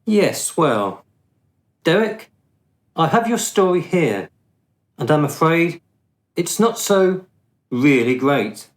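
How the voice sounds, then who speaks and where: Man playing a smug, slow-spoken afterlife bureaucrat. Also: fairly high pitch, very animated. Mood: smug, condescending. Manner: condescending, drily mocking, deliberate. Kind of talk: radio play acting.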